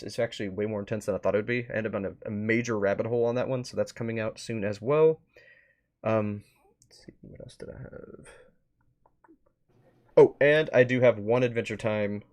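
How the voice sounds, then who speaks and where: A male streamer with a fairly low voice talks casually to his viewers. He rambles and thinks out loud as he goes, in an informal, chatty host style. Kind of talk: casual livestream wrap-up chat.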